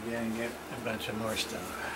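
A man's voice: on the low side, fairly even intonation, a little fast. A middle-aged man muttering short, casual, unscripted remarks to himself while handling things in a cluttered room. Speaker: hobbyist unboxing a radio parts package. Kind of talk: casual unscripted unboxing commentary. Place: small cluttered room indoors. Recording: very noisy home camcorder audio, rustling.

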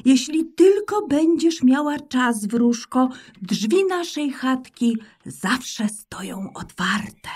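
A woman voicing a gentle, caring mother hare in a children's audio drama, soothing and tender. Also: sits high, lively intonation, slow pace, few pauses, slightly rough, heavily acted.

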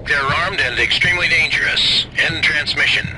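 An actor playing a stern official space dispatcher in a sci-fi game, clipped and formal over a radio link. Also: male voice, a little high, moderate pace, over music, rough recording.

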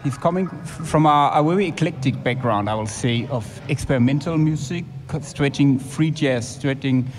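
Halting higher-voiced man hosting a podcast. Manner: halting, hesitant delivery. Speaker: podcast host. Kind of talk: live podcast introduction. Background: music.

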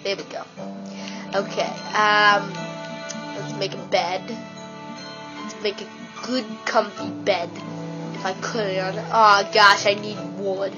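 A woman with a stuffy, blocked nose chatters her way through a video game at an unhurried pace, rambling and excitable as she talks to herself. Her voice comes through dull and lo-fi, with clear game music playing behind her. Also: mid-range voice. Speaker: let's play gamer. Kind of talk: casual game commentary.

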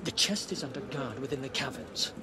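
An actor playing a nervous, evasive, thieving soldier in a video game, anxious and pleading. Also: male voice, mid-range voice, expressive, brisk, heavy background noise, close to an everyday voice. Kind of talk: video game cutscene voice acting.

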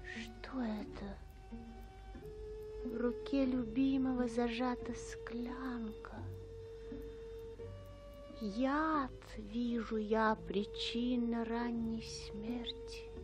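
An actress playing a desolate, resolute young bride in a radio tragedy, tender and theatrical. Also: female voice, on the high side, drawn-out words, long pauses. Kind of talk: slow tragic radio-play acting. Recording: music behind.

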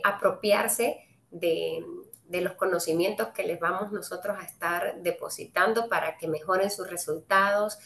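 A woman's voice: medium pitch, echoey room. A woman from Cuba with a Cuban Spanish accent, hosting a live trading webinar, talking to viewers in a friendly way with many "eh" fillers. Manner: friendly, addresses viewers directly, frequent "eh" fillers. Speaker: webinar host and trading speaker.

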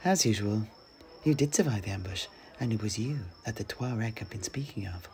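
A man's voice: fairly low pitch, lively intonation, normal speed, darkish tone, a little hiss or hum, close to an everyday voice. A man voicing a cheerful, sly halfling in a fantasy story, with a light, teasing and familiar manner. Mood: sly, friendly. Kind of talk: dramatic storytelling.

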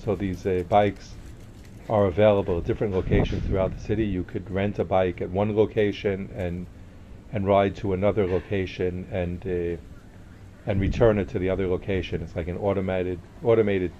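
A man narrating a casual walking tour outdoors as a tour guide, relaxed and full of fillers and restarts. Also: deep voice, moderate pace, lo-fi sound, a little hiss or hum. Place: outdoor market compound with wooden walkways.

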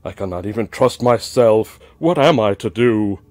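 A man's voice: lowish voice, lively intonation, normal speed, darkish tone, no room sound, lightly acted. A man voicing a paranoid middle-aged king who swings between frantic cries and anxious muttering.

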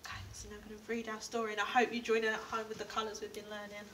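Young female primary teacher, bright delivery, clear simple prompts for children, recorded indoors on a distant camera mic. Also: mid-range voice, completely flat delivery, rapid-fire. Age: young adult. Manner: simple step-by-step instructions with repeated prompts. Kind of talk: teaching signs and reading a picture book to young children. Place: indoors in a school reading corner. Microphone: camera a few feet away. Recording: distant mic with background room noise.

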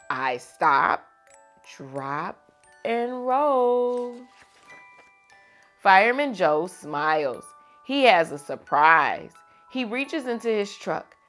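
A young adult woman hosting a read-aloud for children, teacherly, moving between expressive storybook reading and chat with questions. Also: on the low side. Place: small furnished room indoors.

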